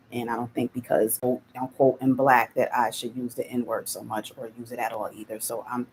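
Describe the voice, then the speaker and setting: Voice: mid-range voice, slight background noise, low-quality recording. A channel host reacting on a live stream, talking hesitantly and restarting as they think aloud.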